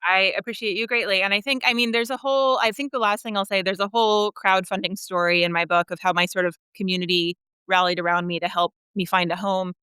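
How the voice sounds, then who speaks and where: A woman's voice: medium pitch, fairly even intonation, fast, few pauses, pushed and bright, clear, resonant tone, dry recording. A woman talking as a guest author in a conversational interview, chatty and earnest.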